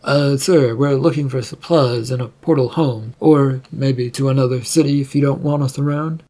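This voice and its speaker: Someone acting a hesitant, husky fantasy adventurer. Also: mid-range voice, fairly even intonation, moderate pace, dark tone, natural voice. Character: polite, hesitant adventurers pleading and questioning.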